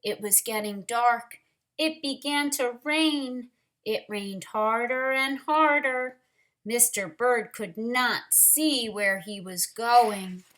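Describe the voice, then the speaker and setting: Female storytime reader for young kids: very slow, bright delivery, expressive narration, wondering asides to listeners. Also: on the high side. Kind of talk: read-aloud picture book narration with asides to children.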